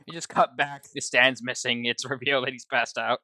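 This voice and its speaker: A woman's voice: a bass voice, steady tone, a little fast, no echo. A woman streaming a game, chatting casually and reading dialogue aloud. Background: loud video game music.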